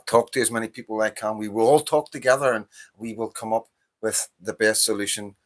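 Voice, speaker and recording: A man's voice: fairly low pitch, steady tone, fairly quick. A man in his fifties with a Northern Irish accent, hosting a livestream and ranting about politics in a heated, profane, repetitive way. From Northern Ireland. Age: 50s. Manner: impassioned, ranting, profane, repeats himself. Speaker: livestream host. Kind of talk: casual political monologue.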